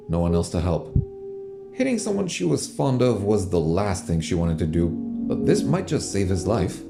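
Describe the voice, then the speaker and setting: A man reading scripted narration for a tense science-fiction audio drama. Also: sits low. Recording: loud music behind the voice. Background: loud dramatic music.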